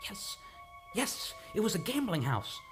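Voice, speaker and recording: A man playing a troubled, agitated amnesiac in an old-time radio drama, speaking haltingly and repeating his words. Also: high voice, sing-song. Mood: haunted, recalling. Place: radio studio, live radio play. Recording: dramatic music under the voice.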